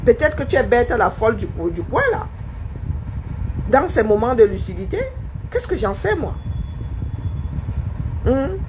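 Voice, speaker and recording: Scornful woman with a lively high voice. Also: unhurried, long pauses, noisy background. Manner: scornful, challenging, repeated rhetorical questions. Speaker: live streamer. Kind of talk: confrontational live-stream monologue.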